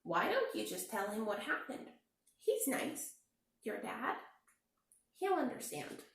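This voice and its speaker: A woman voicing a kind, thoughtful female rabbit with a soft, comforting way of speaking. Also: fairly high pitch, sing-song, slow pace, vocal fry, exaggerated voice. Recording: very echoey room.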